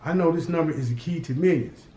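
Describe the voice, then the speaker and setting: A man's voice: a little high, fast talker, mellow, voice pushed up, close to an everyday voice. A man doing a mouthy street-smart teen boy. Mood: defiant. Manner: mouthy, defiant, smart-alecky. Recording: roomy echo.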